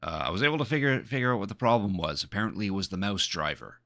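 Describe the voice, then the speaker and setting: A man chatting casually as a live streamer, speaking in a hesitant, halting way and often repeating his words. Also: lowish voice, expressive, sharp tone, no echo, studio quality.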